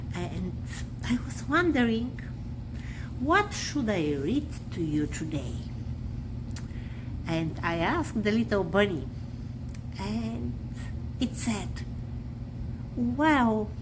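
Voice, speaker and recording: A woman reading a children's bedtime story aloud expressively, with dramatic pauses and breathy drops. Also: mid-range voice, background noise.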